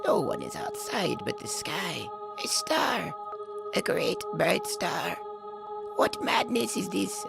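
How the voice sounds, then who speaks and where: A woman's voice: medium pitch, wildly swooping pitch, slow pace, vocal fry, over loud music, full character voice. A woman voicing a very old, fussy woman who mutters to herself in an audio drama. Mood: muttering, wondering. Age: older.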